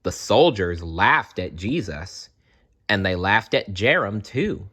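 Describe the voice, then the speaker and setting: Low, slow, expressive children's storyteller. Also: breathy edge. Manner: dramatic pauses, playful asides to listeners. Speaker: storyteller reading aloud. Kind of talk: expressive children's read-aloud narration.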